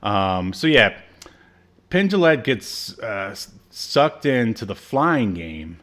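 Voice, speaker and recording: Male American podcast co-host, slightly low voice, laid-back and agreeable, casual conversational reviewing. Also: sing-song, takes it slowly, a bit bright. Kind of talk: casual chat reviewing a TV show.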